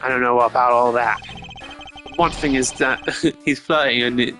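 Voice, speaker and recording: A man's voice: mid-range voice, fairly even intonation, normal speed, pushed and bright. Casual male game streamer over music. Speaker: streamer voicing game characters.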